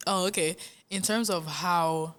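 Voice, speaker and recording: A young Nigerian woman, a musician, talking in a casual interview, animated and earnest, often laughing. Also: lowish voice, unhurried. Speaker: musician guest.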